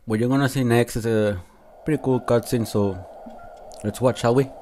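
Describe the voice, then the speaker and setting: Mellow-voiced man doing casual game commentary. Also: medium pitch, steady tone, moderate pace, a little hiss or hum. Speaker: video game review channel host. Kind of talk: casual unscripted gameplay commentary.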